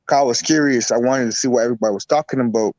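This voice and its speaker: A man from Barbados, a guest herbalist and boxer, talking in a relaxed, rambling way with lots of repeats. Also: medium pitch, racing, no echo. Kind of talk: casual interview talk.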